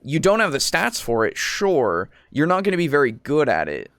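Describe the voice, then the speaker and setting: A man's voice: fairly high pitch, huge pitch swings, moderate pace, a bit bright, no room sound, clean studio sound. A man co-hosting a casual tabletop roleplaying discussion, explaining things in a rambling way with some swearing. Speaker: podcast co-host.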